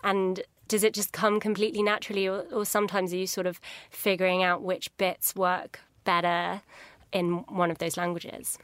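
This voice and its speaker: A woman's voice: fairly low pitch, little pitch movement, moderate pace, fairly bright tone, smooth, no echo. A woman interviewer asking questions casually in a radio studio. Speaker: interviewer, radio culture producer.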